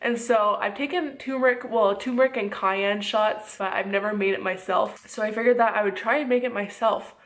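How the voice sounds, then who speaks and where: A woman's voice: mid-range voice, steady tone, fairly quick, hardly any pauses. A young woman with a stuffy, congested nose from a cold, chatting casually and rambling to the camera from her home kitchen, a bit short of breath. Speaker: vlogger. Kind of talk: casual vlog talk to camera.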